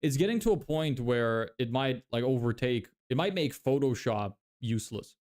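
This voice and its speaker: A man's voice: medium pitch, expressive, normal speed, a little mellow. Young man, Lithuanian first language, casual streamer answering chat, mid-range voice, loose and rambling. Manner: rambling, many fillers and restarts. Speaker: livestreamer reading chat.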